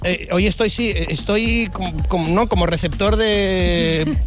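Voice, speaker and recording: Chatty high-voiced reporter. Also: male voice, a little slow, over music. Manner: chatty, joking, stumbling restarts. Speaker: street reporter. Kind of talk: live outdoor radio report. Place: outdoors in a park. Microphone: handheld reporter mic.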